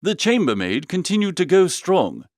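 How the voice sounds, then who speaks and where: A man's voice: high-pitched, sing-song, moderate pace, no echo, clean studio sound. A man narrating a comic first-person story in a jaunty, slangy storytelling manner. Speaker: audiobook narrator.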